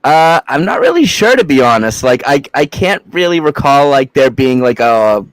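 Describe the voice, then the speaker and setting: A man's voice: medium pitch, sing-song, moderate pace, dry recording, poor audio. A young adult man from Massachusetts, a podcast guest chatting casually in a loose, rambling way with frequent swearing and fillers. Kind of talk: casual chat.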